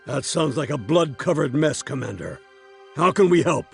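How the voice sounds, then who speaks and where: An actor playing a gruff, battle-hardened war master in a video game, gravelly, blunt and martial. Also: male voice, fairly high pitch, expressive, moderate pace, faint music behind. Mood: brisk, blunt. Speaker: military officer. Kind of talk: video game cutscene acting.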